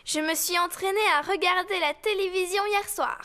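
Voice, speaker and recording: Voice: very high-pitched, steady tone, moderate pace, pushed and bright. A performer voicing an innocent, matter-of-fact little girl sheep in a French-dubbed preschool cartoon. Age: child character.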